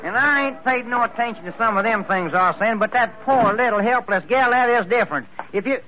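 A high-voiced, folksy country man with a rural American accent for a vintage radio drama. He is plainspoken, chivalrous and indignant, scolding in colloquial drawls. The recording is dull, with a clear layer of noise. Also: a little fast. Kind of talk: old-time radio drama acting. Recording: muffled, noisy old radio recording.

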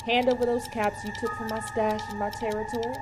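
A woman's voice: on the high side, level tone, brisk, background noise, natural voice. A woman playing a tough female raider gang leader who taunts and threatens. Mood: taunting. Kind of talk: audio drama acting.

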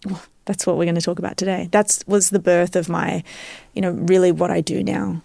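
A woman's voice: lowish voice, level tone, a little fast, vocal fry, warm tone, no room sound, studio quality. A woman with an Australian accent explaining her field as an expert guest in a podcast interview, teaching step by step and checking that she is followed. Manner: didactic, checks understanding with tag questions.

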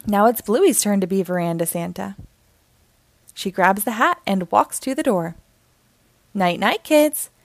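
A woman reading a children's story aloud in an expressive, deliberate narrating style. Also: mid-range voice, gravelly, no echo. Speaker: storybook reader.

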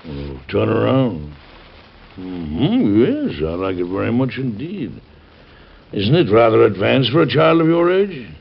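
A man's voice: fairly low pitch, sing-song, unhurried, vocal fry, slight background noise, full character voice. An actor playing a stern, protective, ailing father and president in an old American radio drama. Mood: weary, fond. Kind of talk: 1940s-50s radio drama acting. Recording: dull old radio transcription recording.

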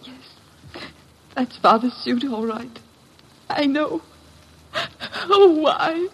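An actress playing a distraught, fragile grieving daughter with tremulous melodrama in an old-time radio drama. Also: female voice, high-pitched, lively intonation, very slow, a little hiss or hum, exaggerated voice. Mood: grief-stricken.

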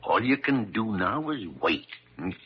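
Grumpy old doctor, husky, voice goes up and down, old muffled radio show. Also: male voice, on the low side, big pitch swings, a little slow, heavily acted. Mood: concerned. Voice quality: husky, raspy. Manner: gruff, cantankerous. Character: crusty, sharp-tongued old male doctor, fond underneath. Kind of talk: old radio drama acting.

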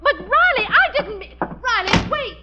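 A woman's voice: very high voice, very animated, a little slow, full character voice. An actress playing a busy, placating middle-aged wife and mother in a 1940s radio sitcom, with a distracted, coaxing manner. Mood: flustered, placating. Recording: dull, noisy, humming vintage radio recording.

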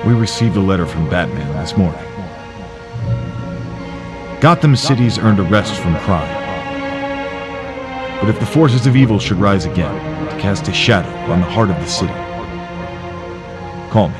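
An actor playing a solemn male district attorney, reading a formal public statement in measured tones in an audio drama. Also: low-pitched, lively intonation, over loud music.

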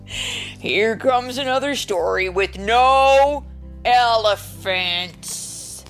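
Woman as slow, skeptical Papa chicken. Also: fairly high pitch, fairly bright tone, soft background music, full character voice. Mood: weary, skeptical. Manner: measured, weary, skeptical. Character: patient, exasperated Papa chicken, skeptical parent. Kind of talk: picture-book read-aloud character voice.

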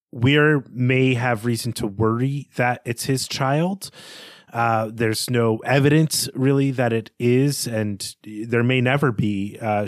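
A man's voice: mid-range voice, no room sound, studio quality. A man co-hosting a podcast, talking through a book in an analytical, conversational way. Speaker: podcast co-host. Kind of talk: literary discussion, conversational analysis.

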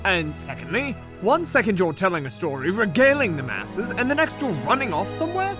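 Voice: medium pitch, huge pitch swings, brisk, over music. A performer playing a teasing, sceptical sidekick to a storyteller in comic fantasy banter.

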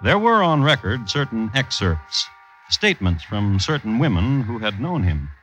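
A wry male crime narrator from 1950s radio. His delivery is deliberate and theatrical, with lively swings in pitch and a bright edge, over faint-to-clear music on a vintage recording. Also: poor audio. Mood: dry, wry. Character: wry, measured male storyteller of true crime tales.

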